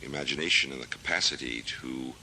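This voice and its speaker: An American man in his thirties, a literary translator, answering interview questions in a thoughtful, measured way with many hesitations. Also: extremely low pitch, vocal fry, bright, forceful tone, slight background noise, rough recording. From United States. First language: English. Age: 30s.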